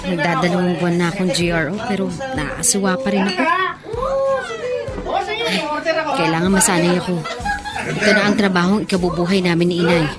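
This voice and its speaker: An actress playing a shy, dutiful nineteen-year-old with a Filipino accent, polite and earnest, in a radio drama. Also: female voice, on the low side, fairly even intonation, a little fast, pauses often, a loud crowd, natural voice. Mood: shy, uneasy.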